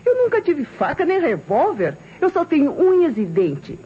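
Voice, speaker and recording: High-voiced, brazen Brazilian showgirl. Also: wildly swooping pitch, normal speed. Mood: teasing, condescending. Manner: mocking, slangy, teasing. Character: brazen, sarcastic young showgirl murder suspect. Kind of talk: old Brazilian radio detective drama acting. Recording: muffled, noisy old radio recording.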